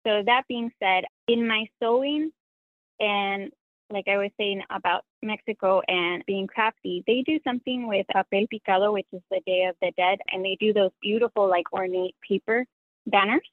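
A woman's voice: mid-range voice, moderate pace, no room sound. Earnest woman on a phone line. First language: Spanish. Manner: earnest, reflective, self-critical. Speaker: guest, sewing content creator. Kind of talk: interview conversation. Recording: tinny dry phone line.

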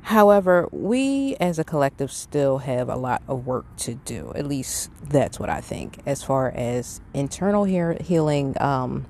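A middle-aged podcast host speaks in a medium-pitched voice, thinking aloud in an earnest, casual monologue. The recording is made outdoors, with faint wind and ambient noise around the voice. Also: sing-song, a little slow, hardly any pauses, a little mellow. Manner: conversational, earnest. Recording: windy outdoor background noise.

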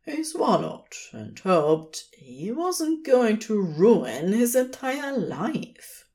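A woman reading fiction aloud as an expressive, scripted storyteller. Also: a little high, drawn-out words. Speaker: podfic narrator.

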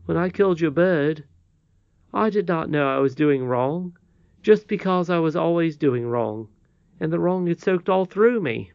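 A man voicing an earnest, contrite and excitable boy in a storybook, speaking with polite emphasis. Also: a little high, measured pace, creaky, no room sound, muffled recording, pitched above the performer's own voice. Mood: remorseful. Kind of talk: storybook character reading.

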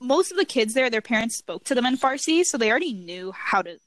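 A woman's voice: a little high, very animated, fast, slight background noise. A young woman telling stories in casual chat, talking with many fillers. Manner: chatty, filler-heavy storytelling.

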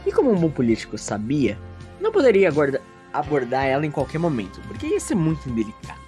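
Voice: mid-range voice, wildly swooping pitch, fast talker, darkish tone, soft background music. A narrator telling a scripted story in a theatrical, self-mocking way with playful asides.

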